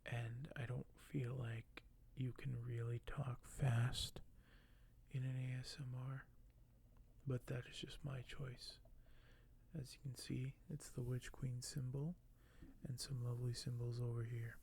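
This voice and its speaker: A man doing soft-spoken ASMR in a breathy voice that often drops to a whisper, speaking in a slow, hushed, hesitant and apologetic way. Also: mid-range voice, flat delivery, slight background noise. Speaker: ASMR video host.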